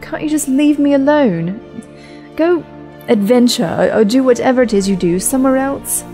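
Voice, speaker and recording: A woman's voice: sits high, expressive, moderate pace, warm tone, faint music behind. A woman playing a weary, irritable young woman traveller in an audio drama, sighing and sarcastic. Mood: bitter, irritated. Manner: exasperated, sarcastic sighing delivery.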